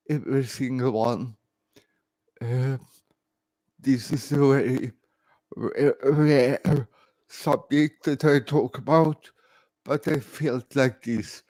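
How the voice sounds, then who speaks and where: Man in his fifties, very slow laboured delivery, halting short phrases, personal vlog talk, dry close sound. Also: medium pitch, warm tone, no echo. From Sweden. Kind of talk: live personal talk to camera.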